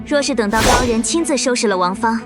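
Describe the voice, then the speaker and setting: An actress playing an earnest young female sect disciple in an animated drama, formal and concerned. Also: a little high, quick pace, lightly acted. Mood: urging. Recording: music behind the voice.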